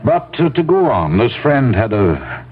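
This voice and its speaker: An actor playing a composed, brooding doctor in an old-time radio drama, speaking in a measured, formal, deliberate way. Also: male voice, medium pitch, big pitch swings, husky. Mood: quietly brooding. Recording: muffled, narrow-band vintage radio recording.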